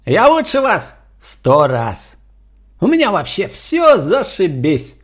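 A man putting on a smug boss who drawls his boasts slowly and lifts his voice above his own. His tone rises and falls widely, confiding and self-satisfied. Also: high voice, huge pitch swings, voice pushed up, heavily acted.

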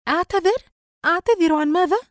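Casting: female reader as exasperated young working woman, raised pitch, wounded and indignant, audiobook drama. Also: animated, fast, a little mellow, dry recording, clean studio sound, natural voice. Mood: astonished. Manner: indignant, incredulous, pleading.

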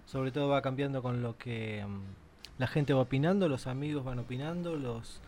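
A man's voice: mid-range voice, normal speed, a little mellow. Man amid noticeable background noise. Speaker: actor and co-writer, interview guest. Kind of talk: casual radio interview.

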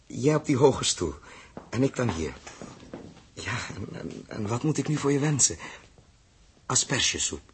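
An actor playing a troubled, indulgent man with a husky voice and a hesitant, musing manner, in 1960s Dutch radio drama style. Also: medium pitch, very animated, unhurried, pauses often, a little hiss or hum. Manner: hesitant, musing, thinking aloud. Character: troubled, indulgent grown man humouring a little girl.

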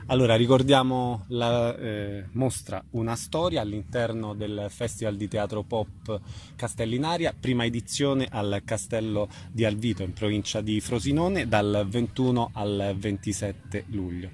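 A man's voice: lowish voice, a little slow, darkish tone. A man conducts an informal event interview as the interviewer. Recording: faint background noise.

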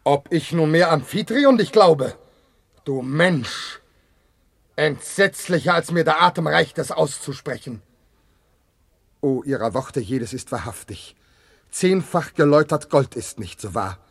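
An actor playing an anguished, proud betrayed husband and general in a classical verse radio drama, impassioned and declamatory. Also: male voice, on the high side, animated, unhurried, gravelly, slightly rough, dry recording, heavily acted. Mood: solemn despair.

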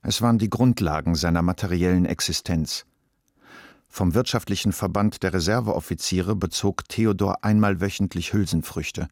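Male narrator, very low register, literary radio play, very dry studio acoustic. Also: moderate pace, darkish tone, no room sound.

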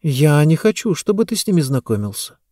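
A man's voice: a little high, sing-song, a little fast, dark tone, dry recording. A sheltered, dreamy young woman in an audiobook romance, voiced by a male narrator. She is earnest and coaxing, her phrases swinging up and down with feeling, recorded close and dry. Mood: anxious.

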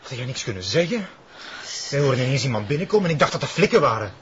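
A young Flemish cult follower, played by a man in a TV thriller, sounding uneasy and defensive. His voice is middling in pitch. The recording is muffled and very noisy. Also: big pitch swings, fast talker, natural voice. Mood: annoyed. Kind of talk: tense TV drama dialogue.

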